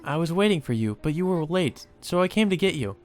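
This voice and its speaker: A man voicing a gentle, worried schoolgirl in a visual novel. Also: a little high, expressive, brisk, voice pushed up, natural voice. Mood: concerned, hesitant. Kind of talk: character reading in a visual novel.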